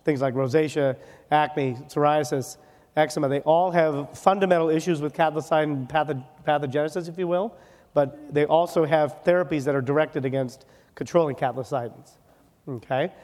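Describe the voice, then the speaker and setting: A man with a slightly high voice delivers a conference lecture on medicine in a big hall, and the long reverb trails after his words. He is from Wisconsin and mixes quick jokes into the teaching, often tagging a point with "okay?". He sounds like he is speaking at a podium mic. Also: a little mellow. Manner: joking, rapid, ends points with "okay?". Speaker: dermatologist lecturer.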